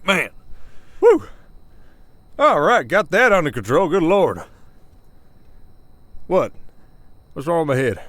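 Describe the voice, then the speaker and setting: A man's voice: a little high, big pitch swings, takes it slowly, pauses often, fairly bright tone, no room sound, heavily acted. A man playing a folksy, hesitant werewolf sheriff with a Southern Old West drawl in an ASMR roleplay. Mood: flustered, defensive. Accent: American Southern, Old West drawl. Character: folksy werewolf sheriff of an Old West town, long-lived but young-faced. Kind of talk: roleplay audio acting, ASMR. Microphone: close studio mic, dry.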